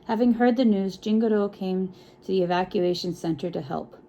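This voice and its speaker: A woman reading a picture book aloud to children in an expressive, encouraging way, with chatty asides and frequent check-in questions. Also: mid-range voice, moderate pace. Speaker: children's storytime reader.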